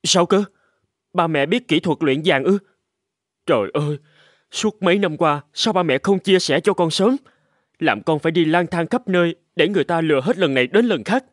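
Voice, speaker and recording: An actor playing an eager, gullible husband in a cartoon, speaking in an earnest, exclamatory way. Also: male voice, a little high, normal speed, dry recording, clean studio sound, close to an everyday voice. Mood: eager, dramatic. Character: eager, gullible husband dreaming of gold, later hardworking. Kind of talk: cartoon voice acting.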